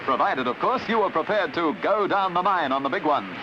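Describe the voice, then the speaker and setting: An Australian man reading scripted 1950s newsreel narration in a brisk, colourful announcer's delivery, on a vintage soundtrack. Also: a little high, expressive, muffled recording, noisy background. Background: music.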